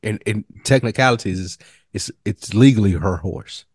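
A man co-hosting a podcast, calmly explaining things in a casual chat about a TV show. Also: lowish voice, moderate pace, slightly rough, dry recording, clean studio sound. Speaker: podcast co-host.

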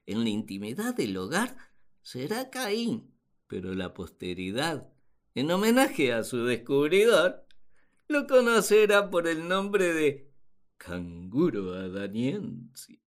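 A woman plays a naive, puzzled first man in a radio play, full of his own importance. The delivery is slow and swings very widely in pitch. He ponders like an amateur naturalist, pompous and perplexed. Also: big pitch swings, heavily acted. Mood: proud, pompous. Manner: theatrical, perplexed, pompous musing. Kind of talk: radio drama diary monologue.

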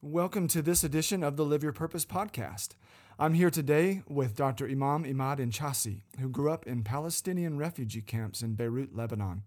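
A man's voice: a little high, brisk, darkish tone. A man hosting a podcast and interviewing a guest with an upbeat, polished delivery. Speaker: podcast host, life coach. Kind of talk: scripted intro then interview.

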